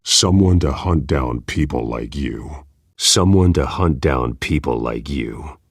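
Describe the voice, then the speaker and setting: A man voicing a grim, brooding masked vigilante in a video game, terse and intense with a gravelly voice. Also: rumbling low, animated, slow pace, no room sound, studio quality, full character voice. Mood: menacing.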